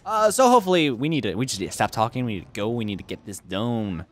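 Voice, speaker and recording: A man's voice: mid-range voice, very animated, quick pace. A young man commenting casually on a strategy game he is playing, joking around and arguing with himself. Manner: goofy, argues with himself in silly voices. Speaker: let's play host.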